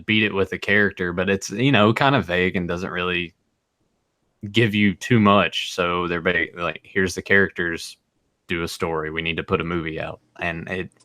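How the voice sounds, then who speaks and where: A man's voice: low-pitched, steady tone, normal speed, sharp tone, no room sound, clean studio sound. A man hosting a podcast in loose, informal chat, posing questions and swearing freely. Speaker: podcast host. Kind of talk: casual chat about movies.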